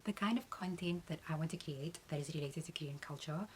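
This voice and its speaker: A young adult woman, a beauty content creator, answering Q&A questions about her channel in an earnest, measured way. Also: sits low, faint music behind. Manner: earnest, measured, uses "um" between points.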